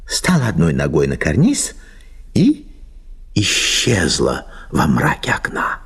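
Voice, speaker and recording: A man reading a literary short story as an expressive, theatrical narrator. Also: low voice, breathy edge. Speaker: narrator of an audio play.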